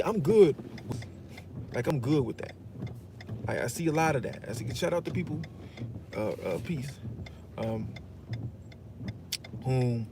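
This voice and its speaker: A man talking in a loose, casual monologue, swearing freely and filling his talk with "you know what I'm saying.". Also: medium pitch. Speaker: commentator. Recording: steady loud road and vehicle noise.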